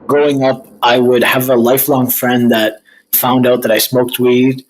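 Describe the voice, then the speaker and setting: A man's voice: medium pitch, level tone, normal speed, poor audio. A man talking casually as an interview guest and business owner. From Egypt, by birth.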